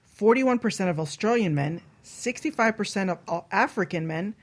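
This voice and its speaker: A woman's voice: medium pitch, lively intonation, normal speed. A woman hosting a radio show, chatting casually and bawdily in a frank, teasing way. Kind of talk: bawdy casual panel chat.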